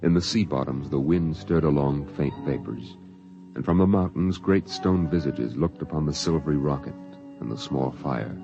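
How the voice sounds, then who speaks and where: A man with an extremely low voice delivers solemn, dramatic narration in mid-century radio style. The recording is dull and vintage, with faint music underneath. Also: moderate pace.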